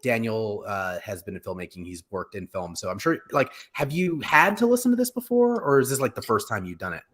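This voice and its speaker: A man's voice: lowish voice, very animated, brisk, a bit bright. A middle-aged man hosting an online discussion, chatting casually from a room at home over a video call. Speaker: host of an online discussion show. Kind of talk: casual chat about radio drama and film.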